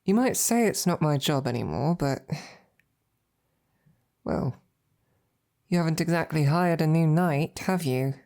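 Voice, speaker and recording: A devoted female royal knight, acted by a woman in an intimate audio roleplay. The voice is low and soft-edged, moving slowly and haltingly. The delivery is tender and earnest, addressed closely to a beloved princess. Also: animated. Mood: earnest, hesitant. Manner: hesitant, halting, softly earnest. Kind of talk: intimate scripted roleplay monologue to the listener.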